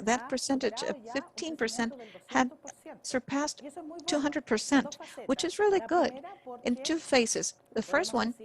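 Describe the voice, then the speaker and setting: A woman interpreting forum talks, speaking in short halting phrases. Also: a little high.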